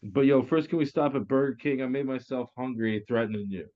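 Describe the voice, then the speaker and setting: A man's voice: medium pitch, a little fast, natural voice. Guy doing grouchy foul-mouthed driver, comedy read, muffled cheap mic sound. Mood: eager. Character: surly, foul-mouthed tollbooth worker, excitable getaway driver. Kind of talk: virtual table read of a comedy script. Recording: dull lo-fi call audio.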